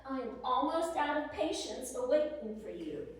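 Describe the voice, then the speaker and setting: Weary, lonely Civil War wife played by a woman, plaintive letter-reading, high voice, distant mic in a reverberant room. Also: sing-song, moderate pace. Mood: impatient. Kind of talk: dramatic reading of historical letters. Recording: echoey hall, distant microphone.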